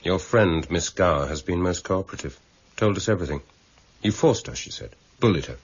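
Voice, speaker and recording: Low-voiced, weary English police detective. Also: male voice, animated, fairly quick, breathy edge, no echo, full character voice. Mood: stern, pressing. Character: senior male police detective, weary, measured, quietly authoritative. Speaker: actor in a radio crime drama. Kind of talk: 1990s British radio drama acting. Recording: muffled, noisy old radio broadcast recording.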